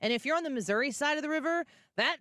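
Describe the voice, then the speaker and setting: A woman's voice: high voice, expressive, racing, a bit bright, dry recording. A woman hosting a talk radio show, asking probing, incredulous follow-up questions from her studio. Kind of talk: radio interview. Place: radio studio near St. Louis.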